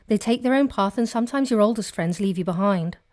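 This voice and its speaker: An actress playing a caring, sensible female friend in an audio drama, gentle and plain-spoken. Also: medium pitch, brisk, dry recording, studio quality, lightly acted. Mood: concerned.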